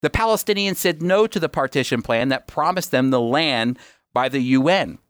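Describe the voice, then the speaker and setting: A man's voice: medium pitch, lively intonation, moderate pace, a bit bright, clear, resonant tone, no echo, studio quality. A man hosting a radio program, explaining his points emphatically in a teaching style and often answering his own questions. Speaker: radio host.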